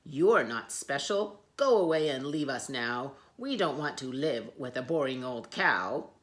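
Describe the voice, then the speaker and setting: A herd of haughty elephants brushes someone off with loud, scornful words, as a woman reading a storybook puts them on. The tone is blunt and dismissive. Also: rumbling low, animated, measured pace.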